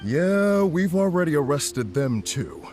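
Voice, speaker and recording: A formal, stern arresting officer. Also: male voice, on the high side, very animated, takes it slowly, darkish tone. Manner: formal and clipped. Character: stern male commission officer making an arrest. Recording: game audio with music behind.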